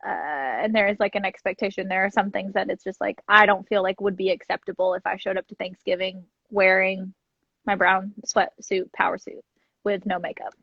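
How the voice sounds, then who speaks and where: A woman's voice: mid-range voice, fairly even intonation, normal speed, gravelly, husky. A woman talking casually and reflectively as a podcast co-host, often checking in with "like" and "right?". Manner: tentative phrasing with frequent "like" and "right?" check-ins. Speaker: podcast co-host discussing self-study. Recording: muffled lo-fi sound, roomy echo.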